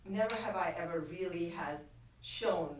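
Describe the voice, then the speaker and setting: A woman's voice: lowish voice, measured pace. A middle-aged woman with an Indian accent, talking conversationally as an interview guest and repeating phrases for emphasis. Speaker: interview guest, actress and filmmaker. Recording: echoey room, distant mic, faint noise.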